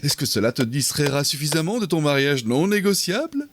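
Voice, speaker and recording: A man's voice: on the high side, very animated, a little fast, very noisy. A man voicing a haughty, flippant demon king and negligent aristocrat father in a cartoon fan dub, pompous and theatrical. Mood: exasperated, dismissive. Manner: pompous, theatrical, breezily dismissive. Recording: loud music behind the voice.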